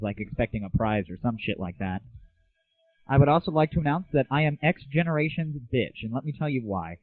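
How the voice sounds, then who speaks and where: A man's voice: mid-range voice, no echo. A man hosting a comedy audio show in a casual, crude, sarcastic rant. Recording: dry, muffled narrow-band audio.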